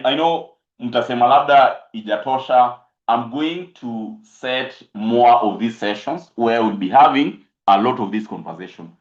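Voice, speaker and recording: Kenyan man mentoring, halting and repetitive. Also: medium pitch. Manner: hesitant, many fillers and repeated phrases; mixes English with Swahili. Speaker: accounting mentor. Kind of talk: live online career talk. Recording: roomy, dull lo-fi stream audio.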